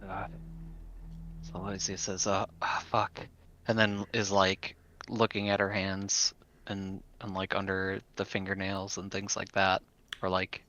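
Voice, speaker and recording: A man's voice: low voice, monotone, a little slow, bright, forceful tone. A man playing a tabletop game, roleplaying casually and feeling his way tentatively through his phrases.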